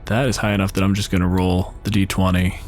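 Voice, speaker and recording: A man's voice: deep voice, level tone, quick pace, a little mellow. A man working as game master, improvising narration in a casual, sweary way with vivid descriptions. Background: faint music.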